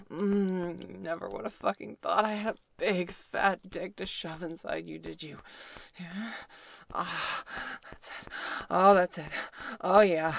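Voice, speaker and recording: Female performer as a commanding, teasing adult heroine interrogator; breathy, unhurried and husky-low. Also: full character voice. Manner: breathy whispering, moaning, slow and sultry. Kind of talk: erotic audio roleplay.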